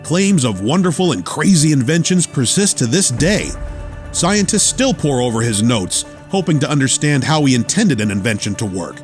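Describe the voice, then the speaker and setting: A man's voice: mid-range voice, big pitch swings, moderate pace, faint music behind, natural voice. A man narrating a radio theater drama in a scripted storytelling style.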